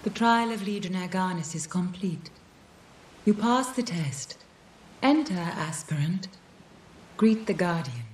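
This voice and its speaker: A woman's voice: on the low side, expressive, measured pace, long pauses, slight background noise. A woman voicing a solemn ancient guardian spirit in a video game, making formal ceremonial proclamations. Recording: echoey hall reverb, faint music.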